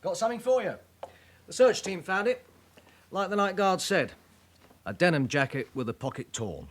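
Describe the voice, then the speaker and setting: A man's voice: sits high, expressive, normal speed. A man playing a helpful police colleague in a radio drama, reporting in a matter-of-fact manner.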